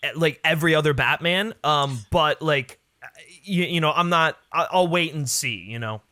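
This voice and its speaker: A man's voice: on the high side, normal speed, bright, forceful tone, no echo. A man chatting casually about films as a podcast guest, emphatic and opinionated, peppering his talk with filler words. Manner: emphatic, peppered with "like" and "you know". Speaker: podcast guest, film commentator. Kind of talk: casual opinionated film chat.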